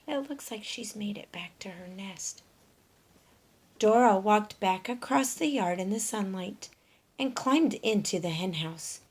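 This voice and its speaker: Slow, breathy woman reading a storybook. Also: mid-range voice. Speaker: storyteller reading a picture book aloud to children. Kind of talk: expressive read-aloud narration with questions to young listeners.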